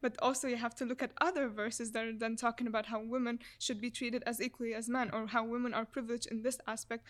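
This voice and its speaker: Young woman from egypt talking on a podcast, pretty monotone, articulate. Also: a little high, fast talker, hardly any pauses. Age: young adult. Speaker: student guest. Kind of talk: podcast conversation.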